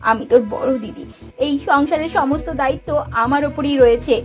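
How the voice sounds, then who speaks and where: A woman's voice: high-pitched, fairly quick, soft background music, lightly acted. A woman voicing a burdened, caring elder sister in a village cartoon, emotional in a pleading and scolding way. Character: burdened, caring eldest sister running a poor village household. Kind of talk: melodramatic cartoon voice acting.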